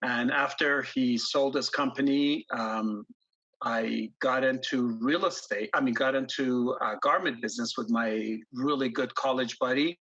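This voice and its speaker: Man, 50s, from Iran, husky voice, halting interview guest, dull lo-fi audio. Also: medium pitch, steady tone, fairly bright tone. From grew up in Iran, born in the US. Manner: halting, many ums and restarts. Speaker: guest investor. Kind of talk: casual interview storytelling.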